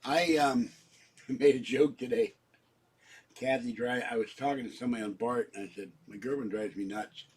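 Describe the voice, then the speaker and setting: A middle-aged man from Pittsburgh talks to his vlog viewers in a meandering, chatty way. His voice is husky and sits at an average pitch. He clears his throat often and signs off warmly. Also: normal speed. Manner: meandering, chatty, warm sign-offs to viewers. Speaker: vlogger. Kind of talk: casual rambling vlog chat.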